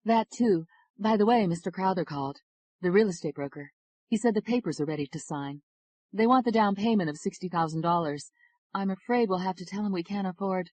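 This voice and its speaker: A woman's voice: mid-range voice, fairly quick, a little mellow, pitched above the performer's own voice, lightly acted. A woman voicing a playful, supportive young wife who teases affectionately. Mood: teasing.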